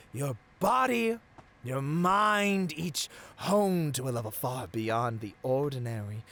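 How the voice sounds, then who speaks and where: A man's voice: a little high, a bit bright, full character voice. A man playing a seductive, arrogant supervillain in a theatrical ASMR roleplay, silky and grandiose, slipping into whispers. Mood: taunting, exultant. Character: seductive, arrogant male supervillain tempting a hero.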